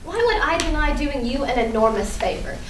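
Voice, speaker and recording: A woman acting a proud, blunt, guarded high school girl with an emphatic, dry manner in a staged play reading. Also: very animated, brisk. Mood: scornful. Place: indoor room at a staged reading. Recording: roomy, distant mic, faint noise.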